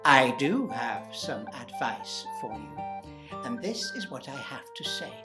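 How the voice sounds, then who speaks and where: A man voicing a wise old woman from a folktale, giving cryptic advice in a hushed, deliberate way. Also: mid-range voice, sing-song, music in the background, voice pushed down. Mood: knowing, mysterious. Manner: slow, hushed, deliberate, rhyming. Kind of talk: theatrical folktale storytelling.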